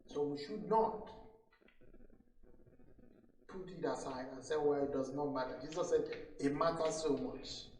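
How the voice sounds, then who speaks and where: A man's voice: a little high, a little slow, long pauses, a little mellow. A man preaching a sermon as a pastor, solemn and admonishing, repeating phrases for emphasis. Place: church hall during a service. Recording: echoey room, distant microphone.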